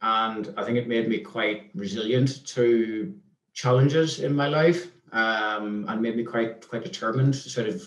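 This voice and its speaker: A man's voice: lowish voice, measured pace. A man in his thirties with a Northern Irish accent, a guest answering questions in a hesitant way with many restarts. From Northern Ireland. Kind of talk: casual interview chat. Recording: echoey room.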